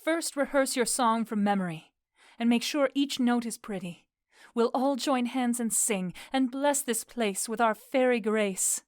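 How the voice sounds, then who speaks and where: A woman playing a graceful, gentle fairy queen in an audio drama, giving gracious instruction. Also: fairly high pitch, a little slow, dry recording, clean studio sound, lightly acted. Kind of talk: audio drama Shakespeare in modern English.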